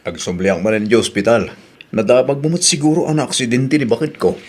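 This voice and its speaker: A man's voice: mid-range voice, a little fast, close to an everyday voice. An actor playing an earnest working man in an audio drama, speaking sincerely and plainly.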